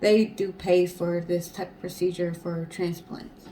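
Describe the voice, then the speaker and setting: A woman's voice: fairly low pitch, little pitch movement, measured pace, mellow, a little hiss or hum, poor audio. A woman co-hosting a casual storytelling show in a conversational, explanatory way. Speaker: co-host.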